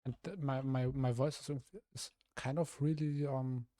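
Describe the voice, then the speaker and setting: A young man from Germany, a streamer with a rough, slightly hoarse voice, thinking aloud hesitantly with many restarts while he builds in a game. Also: mid-range voice, steady tone, a little slow, dark tone, no echo, clean studio sound. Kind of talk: casual live-stream commentary while building.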